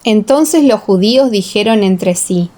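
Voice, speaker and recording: A woman reading a dramatized Bible audiobook as narrator, in a solemn, measured storytelling manner. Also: medium pitch, animated, dark tone. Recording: echoing, long reverb.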